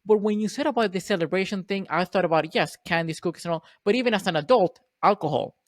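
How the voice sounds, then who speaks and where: A man's voice: sits high, fast talker, no room sound. Hesitant man from Venezuela, dry sound. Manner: hesitant, with many repeated words and fillers. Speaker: podcast host. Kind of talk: casual interview talk.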